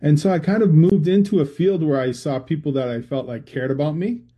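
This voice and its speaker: A middle-aged man with a Massachusetts accent talks through his background as an interview guest. His voice is very dark and mellow, and he often restarts sentences and winds around to his point. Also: a little high, brisk. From Massachusetts, USA. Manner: rambling, many restarts and fillers. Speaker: guest, public health researcher. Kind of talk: conversational interview.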